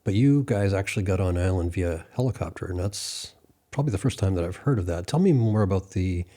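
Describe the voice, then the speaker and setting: A male interviewer with a very low, dark voice. He speaks in a measured, conversational style as he leads into questions. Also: animated.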